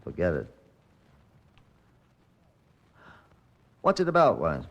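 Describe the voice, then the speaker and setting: A low-voiced chief inspector, from an old radio police drama. He speaks in a clipped, matter-of-fact way, sober and curt. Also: huge pitch swings, fast talker, vocal fry, a bit bright, full character voice. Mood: dry, impatient. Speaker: actor and narrator in a radio crime drama. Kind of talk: old-time radio police procedural acting and narration.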